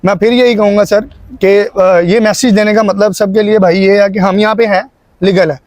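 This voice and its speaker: High-voiced Pakistani man, earnest and pleading. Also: fairly even intonation, moderate pace, darkish tone, no echo. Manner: earnest, pleading, frequent check-in tags. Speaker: YouTube channel host. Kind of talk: conversational advice to viewers.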